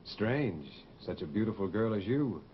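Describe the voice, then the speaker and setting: Suave, animated radio villain. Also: male voice, fairly low pitch, a little slow. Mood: charming, polite. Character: suave, vain, menacing fugitive killer posing as traveler. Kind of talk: 1940s radio drama acting. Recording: muffled, noisy old radio recording.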